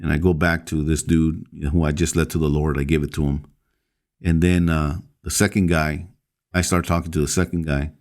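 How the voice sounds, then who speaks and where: A man with a very low, deep voice tells his own story as a podcast guest. He speaks haltingly, pausing on fillers and restarting phrases as he goes. He is a pastor, born in El Salvador. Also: flat delivery, darkish tone.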